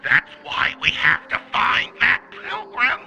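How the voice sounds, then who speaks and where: Harsh gravelly renegade thug. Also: male voice, very high voice, very animated, slow, lo-fi sound, soft background music, full character voice. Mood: agitated. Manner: forceful, swearing. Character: gruff, agitated renegade bandit henchman.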